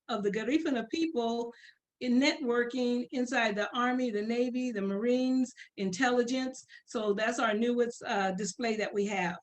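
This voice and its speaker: A woman with a slightly high voice talks about her community's museum exhibits. She speaks hesitantly and informally, filling her pauses with "um" and "uh" as she searches for words. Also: rough recording. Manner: hesitant, many fillers. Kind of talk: informal guest talk.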